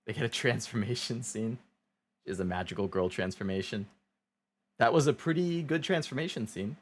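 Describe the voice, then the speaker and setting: A man's voice: mid-range voice, normal speed, slight background noise. A man giving casual, conversational commentary as he streams a video game, musing as he goes. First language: English. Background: game music and dialogue.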